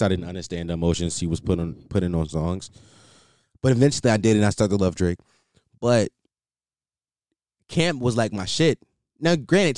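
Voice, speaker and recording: A man's voice: on the low side, darkish tone, dry recording, studio quality. A young man rambling casually as a solo podcast host, repeating himself and filling with "like".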